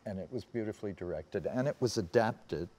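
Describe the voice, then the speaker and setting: An American man in his seventies reminiscing in anecdotes on a panel, haltingly, often trailing off and circling back. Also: on the low side, animated, a little mellow, a little hiss or hum. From United States. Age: 70s. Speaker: panel guest recalling personal memories. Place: seated on a theatre stage.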